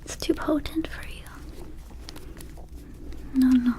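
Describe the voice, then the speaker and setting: Woman playing a slow, whispering little vampire herb seller. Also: sits high, fairly even intonation, full character voice. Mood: rambling, cautioning. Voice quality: soft, breathy whisper. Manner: hesitant, rambling, playfully casual salesmanship. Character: small goofy vampire herb dispensary keeper, laid-back and shady. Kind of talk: ASMR roleplay, mostly whispered.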